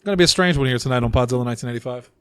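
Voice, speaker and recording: A man's voice: mid-range voice, very fast, smooth, dry recording. A man hosting a casual group podcast, rambling and joking while he is losing his voice. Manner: rambling, self-deprecating, joking. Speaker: podcast host. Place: small room around a table.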